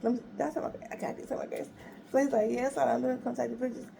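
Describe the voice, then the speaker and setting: A woman's voice: on the high side, very fast, vocal fry, a little hiss or hum. A woman casually telling a story, acting out both sides of a conversation with laughter and exasperation. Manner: animated retelling, acts out both sides with quoted dialogue.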